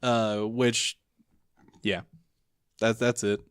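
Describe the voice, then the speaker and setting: एक आदमी जो पॉडकास्ट का सह-होस्ट और फ़िल्म समीक्षक है, रूखे, सपाट मज़ाक करता है और बीच-बीच में अटकता है। आवाज़ सूखे, पास के माइक पर रिकॉर्ड है।. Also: male voice, fairly low pitch, drawn-out words, clear, resonant tone, no room sound. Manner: dry deadpan quips, hesitant fillers. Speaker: podcast co-host, movie reviewer. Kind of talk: casual podcast chat.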